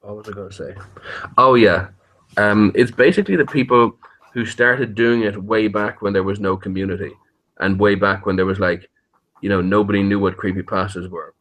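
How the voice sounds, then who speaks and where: Low-voiced man, rambling and joking. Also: normal speed, fairly bright tone, muffled recording. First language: English. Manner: rambling, hesitant, joking, swears freely. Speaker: interviewed YouTube horror story narrator. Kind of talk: casual interview chat.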